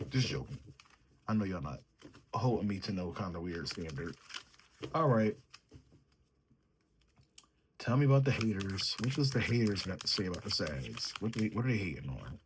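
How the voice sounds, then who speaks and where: A man with a mellow, sleepy way of talking, reading tarot cards and thinking aloud as he draws them. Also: low-pitched, expressive, normal speed, long pauses, slight background noise. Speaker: tarot reader. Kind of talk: solo tarot reading, casual chat.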